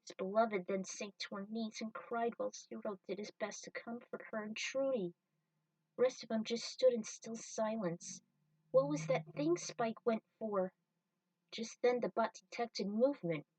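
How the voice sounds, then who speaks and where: A woman's voice: fairly high pitch, normal speed, a little mellow, dry recording, far from the mic, poor audio. A woman reading scripted story narration, action scenes told in prose. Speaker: narrator and reader of a fan fiction story.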